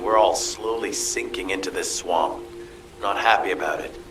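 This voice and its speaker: An actor playing a tense, commanding military mission officer, earnest and authoritative in scripted game dialogue. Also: male voice, fairly low pitch, background noise, lightly acted. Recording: music behind the voice.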